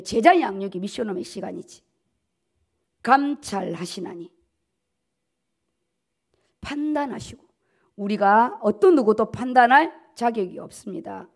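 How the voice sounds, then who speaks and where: High-voiced woman preaching emphatically. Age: middle-aged. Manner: emphatic, repeats key words for stress. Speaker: pastor. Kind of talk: sermon, preaching at a dawn service. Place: at a pulpit in a church auditorium. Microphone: podium gooseneck microphones.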